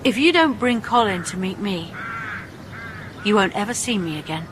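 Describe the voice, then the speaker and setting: An actress playing a possessive, quietly commanding female ghost in an intimate radio horror drama. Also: mid-range voice, lively intonation, moderate pace, background noise, natural voice. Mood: coolly insistent.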